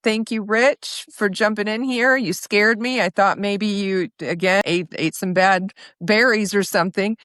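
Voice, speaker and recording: A woman's voice: medium pitch, fairly even intonation, measured pace, hardly any pauses, fairly bright tone, no echo, clean studio sound. A woman hosting a conversational interview, speaking in an appreciative, reflective way. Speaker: podcast host.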